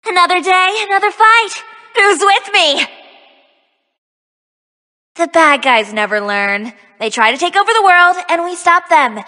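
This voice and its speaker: A young magical-girl heroine from a video game, cocky and teasing. Her voice is bright and forceful, with slow, theatrical, sassy delivery. A long reverb hangs on every line. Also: extremely high pitch, animated, exaggerated voice. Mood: upbeat, determined. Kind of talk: video game character voice lines.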